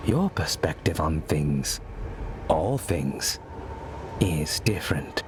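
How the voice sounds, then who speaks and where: A wise, grave mentor, gives counsel in a deep voice and at a slow, measured pace. It is solemn fantasy game acting with clear background noise. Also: male voice, big pitch swings, heavily acted. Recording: noisy game ambience behind voice.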